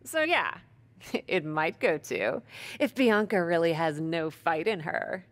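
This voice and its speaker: A woman's voice: lowish voice, lively intonation, slow pace. An actress playing a confident young female wrestling champion who talks in a playful, persuasive way. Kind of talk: video game cutscene acting.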